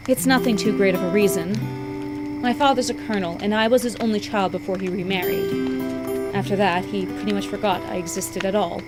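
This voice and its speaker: A proud, sour young female officer voiced by an actress in a visual novel. She speaks bluntly at a medium pitch, with a prickly edge, over loud music. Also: steady tone, moderate pace, lightly acted. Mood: guarded, candid. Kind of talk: visual novel character acting.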